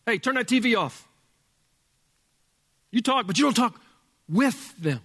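A male pastor with a loud voice preaching a sermon in a church, emphatic, dropping from booming to hushed. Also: expressive, unhurried, breathy edge, in a large hall. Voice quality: loud speaking voice. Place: church sanctuary with sound board.

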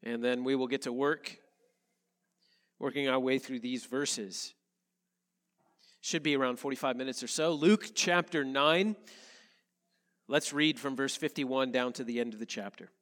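A male pastor, a little nasal from a head cold, reading scripture and preaching in an earnest, measured way. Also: mid-range voice, fairly even intonation, fairly bright tone, in a large hall. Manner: earnest, measured preaching with slow emphatic phrases. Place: church sanctuary.

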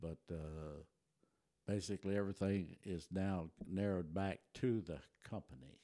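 Older man, german first language, low warm voice, folksy rambling storyteller, dry close sound. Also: a little slow, no echo. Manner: folksy, rambling with many restarts and chuckles. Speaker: interview guest. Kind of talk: relaxed storytelling.

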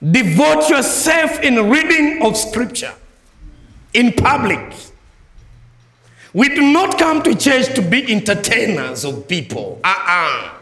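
Emphatic Malawian preacher in echoey church. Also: male voice. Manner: emphatic, swings between soft low phrases and raised ringing lines. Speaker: guest preacher. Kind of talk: sermon.

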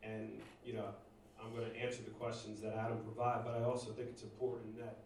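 A man from Northeast Philadelphia giving a halting personal testimony to a church congregation, full of fillers and restarts. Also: fairly low pitch, completely flat delivery, a little mellow. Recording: echoey room, distant mic, faint noise.

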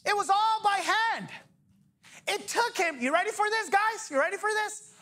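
A man in his forties preaching a sermon as pastor, energetic, joking and firing off rhetorical questions. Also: very high-pitched, normal speed. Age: 40s.

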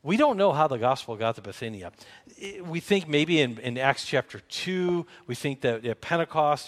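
A man with a Midwestern American accent preaching an informal sermon as a pastor, haltingly rephrasing himself and posing questions to listeners. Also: medium pitch. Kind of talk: conversational expository sermon.

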